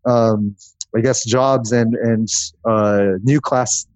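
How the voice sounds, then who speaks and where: A man's voice: medium pitch, steady tone, takes it slowly, darkish tone, smooth, dry recording. A man speaking as a podcast guest in a casual interview, explaining things in a rambling way with lists. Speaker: podcast guest, growth marketer.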